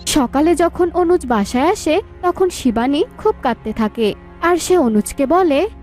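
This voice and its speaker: A woman's voice: high voice, animated, unhurried, over loud music. A woman reading scripted story narration.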